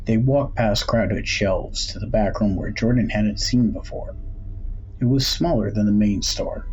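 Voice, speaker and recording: Low-voiced man narrating horror fiction. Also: a little mellow. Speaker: audiobook narrator. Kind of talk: scripted horror story narration. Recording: dull, noisy home recording.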